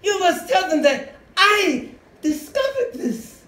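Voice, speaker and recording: A very slow, high-voiced anguished professor. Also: female voice, very animated, fairly bright tone, exaggerated voice. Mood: weak, urgent. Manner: drawn-out, overwrought delivery. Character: anguished, obsessive professor, grandiose and doomed. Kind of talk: theatrical stage melodrama. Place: live on a theater stage. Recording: echoey theater stage, faint noise.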